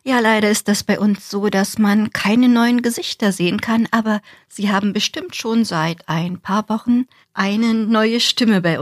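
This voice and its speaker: A woman's voice: mid-range voice, no echo. A woman presenting radio news in a studio and interviewing a new volunteer in a friendly way.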